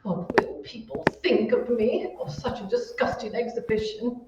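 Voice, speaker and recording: A woman's voice: a little high, normal speed, noisy background, lightly acted. A woman playing a haughty, bossy married hostess in an old-time radio thriller, scolding in a theatrical, indignant way. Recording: echoey hall, distant mic, muffled.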